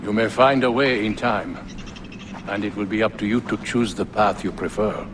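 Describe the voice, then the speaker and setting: An actor playing a wise, fatherly older male mentor who speaks in a gentle, reflective way. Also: lowish voice, fairly even intonation, normal speed, background noise, natural voice. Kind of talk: video game cutscene acting. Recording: game score under the dialogue.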